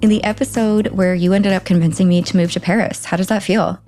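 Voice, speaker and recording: A woman's voice: medium pitch, fairly even intonation, brisk, dark tone. A woman in her thirties hosting a podcast in casual, playful chat, often laughing. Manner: giggly, playful, chatty. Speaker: podcast host. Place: Paris.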